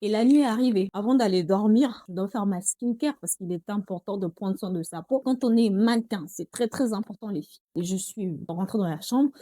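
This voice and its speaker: A woman's voice: mid-range voice, a little fast, hardly any pauses, mellow, no echo. A young woman with a Congolese accent chatting to her viewers in a casual vlog, often calling them girls. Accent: Congolese French. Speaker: vlogger. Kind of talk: casual talk to camera.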